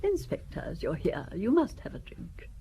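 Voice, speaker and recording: A wealthy old lady, amused and gossipy, pressing drinks on her guest. Her voice is mellow and swoops around a lot. Faint background noise runs under this radio drama. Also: mid-range voice, wildly swooping pitch, moderate pace, gravelly. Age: older. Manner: laughing, theatrical, hospitable.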